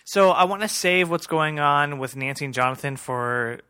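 A man's voice: on the high side, moderate pace, bright, forceful tone, smooth, dry recording, clean studio sound. A man co-hosting a podcast in a casual, informal recap chat. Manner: informal, swearing. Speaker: podcast co-host.

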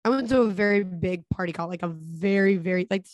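A woman's voice: lowish voice, quick pace, a little mellow, no echo. A young woman chatting candidly as a podcast guest, laughing often as she tells stories. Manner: candid and giggly, laughing often between lines. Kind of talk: casual chat.

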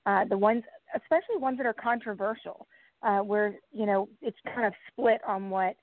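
Lady podcast guest answering casually, mid pitch, narrow phone sound. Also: normal speed, dry recording. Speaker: podcast host, interview guest. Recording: dry, narrow phone-line audio.

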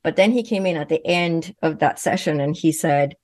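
A woman speaks in a low, deep register, earnest and fluent, though she often restarts her phrases. The sound is close and dry, with no room echo. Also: moderate pace, a little mellow. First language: Swedish. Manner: earnest, fluent with frequent self-corrections. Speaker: guest, medium and physician. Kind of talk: conversational interview answers.

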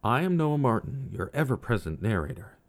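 A man's voice: mid-range voice, very animated, fairly quick, faint music behind. A young man hosting a true-crime podcast, reading scripted narration in a reflective, questioning way.

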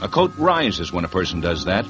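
Stern police lieutenant. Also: male voice, sits low, music in the background. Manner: calm, pressing, measured questioning. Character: stern male police lieutenant, methodical interrogator. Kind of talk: 1950s radio drama acting.

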